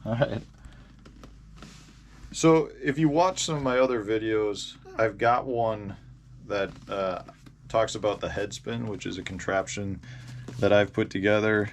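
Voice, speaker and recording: An American man in his forties telling casual, rambling, halting stories as the host of a fishing vlog. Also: lowish voice, fairly even intonation. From Indiana, United States. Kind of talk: casual vlog storytelling about fishing gear. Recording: clear background noise.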